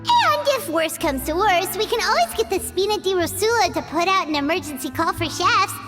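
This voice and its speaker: A childlike fairy sidekick for a video game. The voice is piercingly high and squeaky, with very lively swoops. She chatters excitably over prominent music. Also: a little fast. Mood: hesitant, hopeful. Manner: squeaky, refers to herself in third person.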